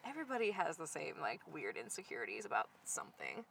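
A woman's voice: low voice, huge pitch swings, fast, creaky, a bit bright. Laid-back woman with faint background noise. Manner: easygoing, laughs often, frequent "yeah" and "like". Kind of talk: casual movie recap chat.

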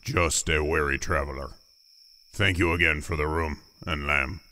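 An actor playing a gruff, weary orc warrior in a fantasy audio drama, terse and dry with a gravelly, unhurried delivery. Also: male voice, very deep voice, steady tone, pushed and bright. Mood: calm, dry.

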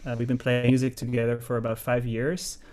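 Guy, jazz pianist, chatty interview, lots of um and restarts, middle pitch. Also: monotone, fairly quick, a little mellow. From Netherlands. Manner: thoughtful, rambling with many restarts and fillers. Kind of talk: casual interview chat.

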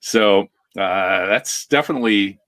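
An American man with a fairly low voice hosts a news commentary show. He talks conversationally, with a wry, tongue-in-cheek edge. The sound is dry, with very little room around him. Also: unhurried, a bit bright, no echo.

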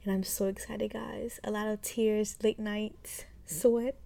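A woman in her twenties with a mellow, warm voice hosts a podcast, talking casually to her listeners. Her talk is chatty and loose, full of "like" and "um". Her pitch sits in a middle range for a woman. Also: level tone, takes it slowly, gravelly. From Haiti. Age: 20s. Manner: chatty, many fillers like "like" and "um", frequent light laughs. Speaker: podcast host. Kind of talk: casual podcast intro monologue.

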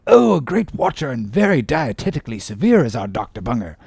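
A man's voice: medium pitch, big pitch swings, brisk, creaky, darkish tone, husky, dry recording, full character voice. A man voicing a hearty, jovial English whaling captain in a storybook, full of teasing bluster and exclamations. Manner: exclamatory, ribbing, boisterous.

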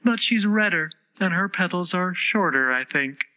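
A man's voice: high-pitched, animated, normal speed, pushed and bright, lo-fi sound, pitched above the performer's own voice, heavily acted. A man voicing a prim, critical, chatty female rose, with a forthright and condescending way of talking.

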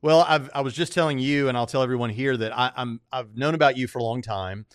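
A man's voice: medium pitch, fast talker, clean tone, no echo, clean studio sound. A man hosting an interview show, talking in an earnest, conversational way and circling around his points.

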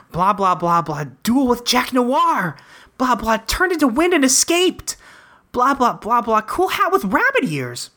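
Woman as teenage boy, earnest, rambling, lively pitch, bright tone, webcomic character reading. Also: takes it slowly, full character voice. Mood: excited recital. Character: earnest, rambling teenage boy recapping his wild adventures.

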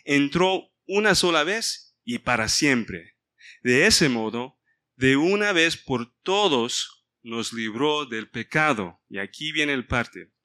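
Bright-voiced man leading a Bible study. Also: mid-range voice, animated, slow pace. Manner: mixes in English words, stops to ask the group for help. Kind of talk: informal Bible study sermon.

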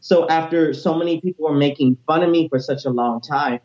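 Guy in his late 20s, high tenor voice, animated and energetic, kinda muffled audio. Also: a little fast. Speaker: interview guest, teacher and music minister. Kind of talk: personal testimony interview.

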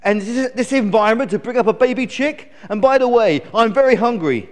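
A man's voice: very high voice, lively intonation, brisk, a little mellow, voice pushed up. A man voicing a bossy, ungrateful newborn eagle chick in a live children's story, complaining and scolding. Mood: indignant complaining. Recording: echoey hall with long reverb.

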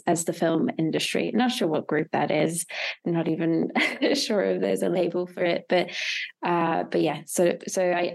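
A woman's voice: lowish voice, creaky, darkish tone. A young woman giving casual interview answers as a filmmaker, thoughtful and hesitant as she searches for words. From Hungary. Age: young adult. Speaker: interview guest, experimental filmmaker.

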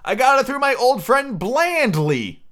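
A man voicing an excited gentleman squire reading out his letter in a grandiose, old-fashioned, theatrical manner. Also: very high-pitched, unhurried, voice pushed up, heavily acted. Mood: excited, boastful.

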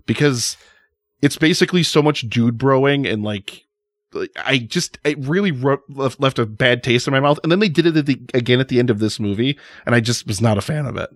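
A man's voice: medium pitch, brisk, dry recording. A man in casual podcast chat, rambling and hedging with lots of "like" as he airs his dislikes.

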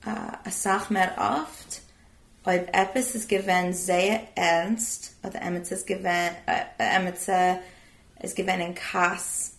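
A woman's voice: fairly low pitch, fairly even intonation, unhurried, roomy sound. A woman chatting casually in a group discussion and dropping in English fillers.

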